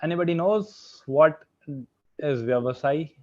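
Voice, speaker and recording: A man's voice: a little high, expressive, unhurried, muffled recording, over a noisy crowd. A young man with an Indian accent giving an online lecture with slides. He keeps filling his sentences with "okay" and "means" and puts questions to the audience. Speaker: guest speaker, software engineer.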